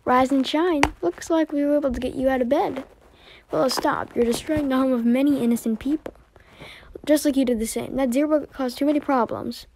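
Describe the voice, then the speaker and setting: A woman performing dialogue as an amateur voice-over for a homemade animated story. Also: high voice, fairly quick, slight background noise, poor audio.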